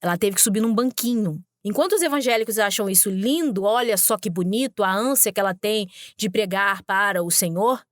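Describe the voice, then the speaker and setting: A woman's voice: mid-range voice, brisk, hardly any pauses, no echo, clean studio sound. A woman hosting a podcast, giving sarcastic, emphatic commentary on video clips she plays. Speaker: podcast host.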